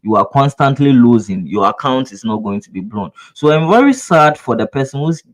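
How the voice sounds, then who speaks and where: A man's voice: mid-range voice, sing-song, fairly quick. A man from Ghana with a Ghanaian English accent, teaching trading in a live webinar, repeating himself and asking rhetorical "what?" questions. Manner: earnest, coaching address to viewers. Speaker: trading instructor.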